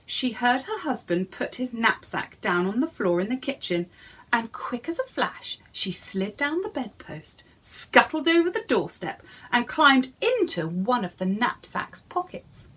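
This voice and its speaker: A woman's voice: a little high, big pitch swings, measured pace, a bit bright, husky. A woman reading a storybook to young children in a theatrical way, addressing her listeners directly.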